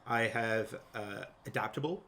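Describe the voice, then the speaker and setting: A man, a tabletop player talking casually and haltingly through a character sheet. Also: fairly low pitch, a bit bright. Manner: halting, hesitant. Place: indoors at a home game table.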